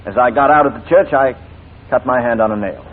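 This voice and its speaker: An actor playing an exasperated, evasive upper-class gentleman with a clipped, polite manner in a 1940s radio drama. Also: mid-range voice, brisk, lightly acted. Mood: defensive. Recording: scratchy, narrow-band 1940s radio recording.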